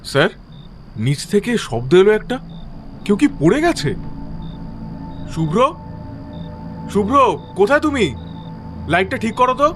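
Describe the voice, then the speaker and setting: A man's voice: high voice, sing-song, measured pace, pauses often, soft background music. A man playing an alarmed subordinate in an audio suspense drama. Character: alarmed male subordinate on a dark rooftop.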